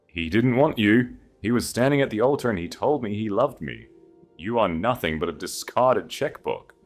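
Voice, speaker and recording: A man's voice: lowish voice, expressive, moderate pace, faint music behind, voice pushed up. A man voicing a vain, spiteful society woman in an audio story, projecting her taunts with a sneering, venomous manner. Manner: sneering, falsely sweet or venomous.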